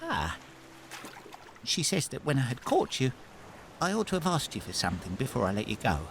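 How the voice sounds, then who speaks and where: An actor playing a humble, simple fisherman in a fairy tale audio drama, with a theatrical, expressive manner. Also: medium pitch, moderate pace, vocal fry, slight background noise, heavily acted. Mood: sheepish.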